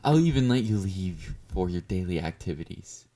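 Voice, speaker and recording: A man's voice: deep voice, expressive, normal speed, warm tone. A man playing an obsessive, clingy yandere fan in an intimate roleplay monologue, speaking to the listener with doting, flirty confidence. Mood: tender, clingy. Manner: doting, confident, coaxing with pet names.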